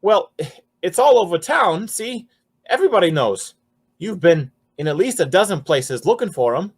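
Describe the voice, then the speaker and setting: A man's voice: high-pitched, sing-song, moderate pace, breathy edge, full character voice. A man playing a nervous, cringing underworld informant in 1940s radio style, stammering and whining in slang. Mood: frightened.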